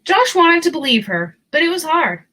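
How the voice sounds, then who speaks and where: A woman narrating a children's storybook aloud in an expressive, deliberate storytelling manner. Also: very high-pitched, slight background noise.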